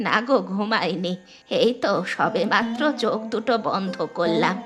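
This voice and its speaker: A woman plays an elderly village grandmother from a cartoon. She is gentle and self-effacing, pleading in a sorrowful, soft-hearted way. Music plays clearly behind her. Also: mid-range voice, moderate pace, lightly acted. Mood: gentle, forgiving. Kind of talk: Bengali cartoon voice acting.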